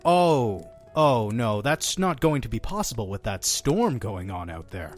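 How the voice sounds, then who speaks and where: Mysterious inn's keeper, male, played by an actor: chatty, theatrical, highly animated delivery for audio drama. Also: unhurried, soft background music. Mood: breezy, insistent. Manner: effusive, talking over his guest.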